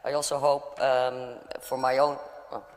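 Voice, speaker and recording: A Dutch woman standing as a candidate, giving a nervous, halting speech at a hustings. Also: rumbling low, level tone, a little mellow. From Netherlands. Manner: halting, nervous, many fillers. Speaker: election candidate. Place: large church hall. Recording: long church hall reverb.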